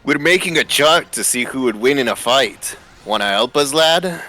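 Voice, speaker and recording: A man's voice: medium pitch, animated, moderate pace, sharp tone. A man voicing a boastful, hard-drinking Scottish mercenary who teases his companions, in a fan story reading. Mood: casual. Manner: teasing, boastful. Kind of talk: amateur voice acting in a live fan story reading. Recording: music behind the voice.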